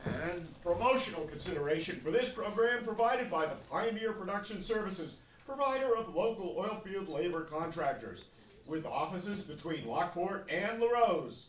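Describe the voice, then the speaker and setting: An actor playing a flustered stage manager and announcer in a live stage radio play. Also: male voice, high-pitched, animated, moderate pace. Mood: brisk announcing. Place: theatre stage, live performance. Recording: roomy distant stage pickup, noisy.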